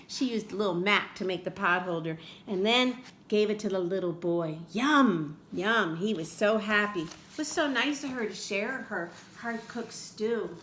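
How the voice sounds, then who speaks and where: An older woman reading a picture book aloud to children, expressive and chatty, with asides to the young listener. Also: mid-range voice. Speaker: read-aloud storyteller for children. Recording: echoey room with faint background noise.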